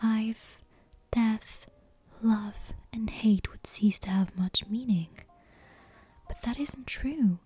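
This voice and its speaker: A woman softly whispering a bedtime story in an ASMR style, close to the microphone. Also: a little high, slow, husky. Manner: soft, unvoiced whispering.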